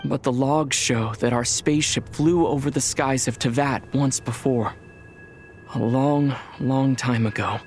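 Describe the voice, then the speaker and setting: An actor voicing a thoughtful young male traveler in a video game cutscene, speaking in a quiet, measured, reflective way. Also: medium pitch, fairly even intonation, slight background noise, lightly acted.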